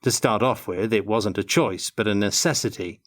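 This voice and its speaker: An older man giving measured, articulate answers as an interview guest in a prepared Q&A. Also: on the low side. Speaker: interview guest, tabletop game designer.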